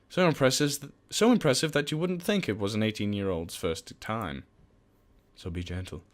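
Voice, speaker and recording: A man giving casual gameplay commentary and reading game text aloud, with sweary, jokey asides. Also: mid-range voice. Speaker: let's player. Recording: game music behind the voice. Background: game music.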